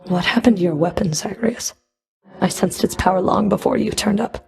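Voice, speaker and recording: A woman's voice: mid-range voice, normal speed, gravelly, a little mellow, lightly acted. A woman playing a stern, scornful underworld fury in a video game, with a cold, sardonic, taunting delivery. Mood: dry, sardonic. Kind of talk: scripted video game character dialogue.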